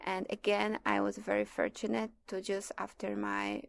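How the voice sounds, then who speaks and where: A woman's voice: medium pitch, big pitch swings, normal speed, gravelly. A woman in her twenties from Poland, a native Polish speaker with a Polish accent, telling her own story as an interview guest. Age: 20s. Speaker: interview guest, PhD student. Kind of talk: conversational interview, personal storytelling.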